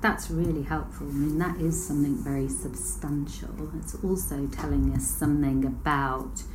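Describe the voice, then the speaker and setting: A woman's voice: low voice, steady tone, moderate pace. A woman interviewing a researcher, asking questions in an attentive, affirming way. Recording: audible background noise.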